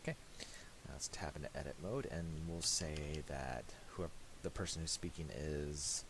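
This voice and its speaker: A man giving a casual, unscripted software tutorial, thinking aloud hesitantly and a little self-deprecatingly. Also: rumbling low, normal speed. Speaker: tutorial presenter. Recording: hissy home recording with mouse clicks.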